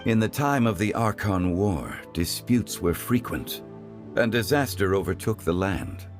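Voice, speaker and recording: A man voicing a calm, learned consultant in a game, speaking in a measured, stately way. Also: deep voice. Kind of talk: formal game cutscene narration of history. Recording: music under the voice.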